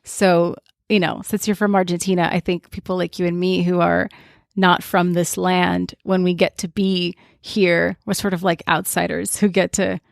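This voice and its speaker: Woman, host, chatty and enthusiastic, gravelly low voice, dry close sound. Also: little pitch movement, moderate pace, no echo. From Brazil. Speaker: podcast host. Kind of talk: casual interview chat.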